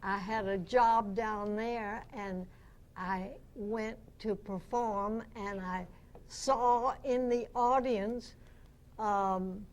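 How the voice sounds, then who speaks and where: A woman in her nineties, a guest reminiscing in an unhurried, anecdotal way before a club audience. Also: medium pitch, steady tone. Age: 90s. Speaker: guest, veteran radio actress and singer. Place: club meeting room with audience. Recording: noisy live meeting-room recording.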